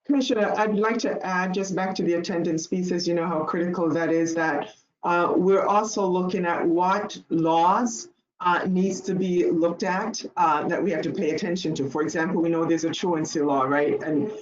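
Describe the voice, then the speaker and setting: A woman speaks at a middle pitch, her voice echoing in a roomy space and coming through dull video call audio. She gives a policy update in a thoughtful way, often hesitating as she works through her points. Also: steady tone, moderate pace, slight background noise. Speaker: deputy commissioner. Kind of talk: policy update in an online meeting.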